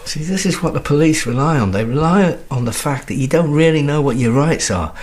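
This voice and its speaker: A man acting a drunk, anxious husband in a radio thriller, slurred and gravelly, rambling through halting questions and suspicions. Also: fairly high pitch, lively intonation, a little mellow, lightly acted. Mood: confused, agitated. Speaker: actor in an audio drama.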